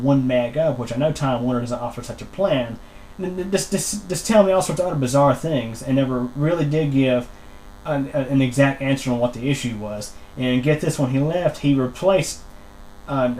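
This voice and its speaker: A man explaining a technical complaint as a customer on a phone call, wordy and halting, often repeating himself. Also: medium pitch, darkish tone, slight background noise. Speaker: customer calling internet support, channel host.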